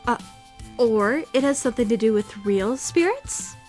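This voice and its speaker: A woman's voice: on the high side, lively intonation, normal speed, darkish tone, natural voice. A woman playing a prim, bookish young student who is easily indignant, in a fan-dubbed visual novel with formal, theatrical delivery. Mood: nervous, rambling. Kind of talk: first-person visual novel fan dub narration and dialogue. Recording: music behind the voice.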